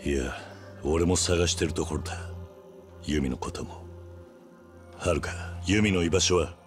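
Very deep, gruff stoic man. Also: a little slow, faint music behind. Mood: grave. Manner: terse, gruff, blunt questioning. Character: stoic, gruff adult yakuza man, guarded but protective. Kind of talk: Japanese video game drama acting.

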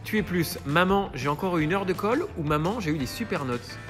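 A man hosting from off camera, reading playful this-or-that questions at a brisk clip. His voice moves up and down with lively intonation. Faint music plays behind him. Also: high voice. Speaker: interviewer host. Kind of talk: reading quick-fire questions.

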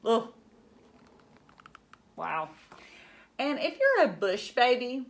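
A woman in her thirties reading a children's book aloud like a teacher, with expressive asides and questions to young listeners. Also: fairly high pitch, very slow. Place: indoors, living room at home.

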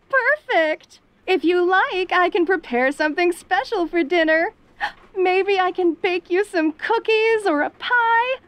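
A woman's voice: very high-pitched, heavily acted. A woman playing a cheerful, doting yandere mother who teases in a sugary, coaxing way in an audio roleplay. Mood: doting, eager.

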